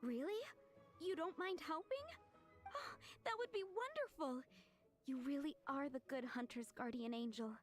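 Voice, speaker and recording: A woman's voice: high voice, animated, a little slow. A woman playing an earnest, easily overwhelmed young restaurant worker in an anime fantasy game. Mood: grateful. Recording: game audio with music behind.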